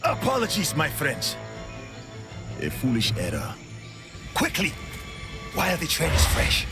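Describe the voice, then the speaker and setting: A man's voice: on the high side, wildly swooping pitch, unhurried, over loud music, exaggerated voice. An actor playing a noble, stern king in a video game, speaking formally. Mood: commanding. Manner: formal, commanding. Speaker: game character.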